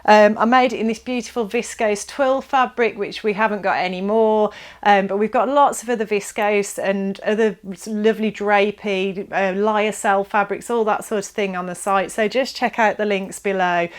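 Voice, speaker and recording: A woman's voice: medium pitch, fairly even intonation, normal speed, smooth. A woman chatting casually and enthusiastically to viewers as she presents a product review video. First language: English. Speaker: presenter of a sewing shop's pattern review video.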